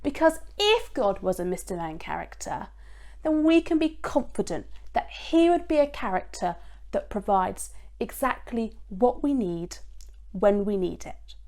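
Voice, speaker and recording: A woman giving a children's church talk in an expressive storytelling way, speaking simply and directly to her listeners. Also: mid-range voice, unhurried.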